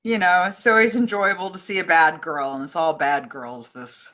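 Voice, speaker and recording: A woman's voice: fairly low pitch, steady tone, normal speed, sharp tone, slight background noise. A woman co-hosting a casual chat over an online call. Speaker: co-host, communications director.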